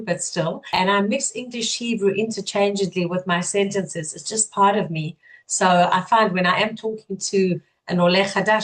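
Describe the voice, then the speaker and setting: A woman's voice: mid-range voice, poor audio. A middle-aged woman hosting an interview podcast, asking long, winding questions in a friendly way. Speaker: podcast host.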